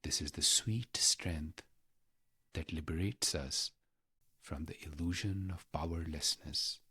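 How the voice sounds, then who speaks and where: A man guiding a meditation in a measured, reverent manner, pausing deliberately between short phrases. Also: rumbling low, sharp tone, husky, no room sound, clean studio sound. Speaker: meditation guide. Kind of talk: guided meditation narration.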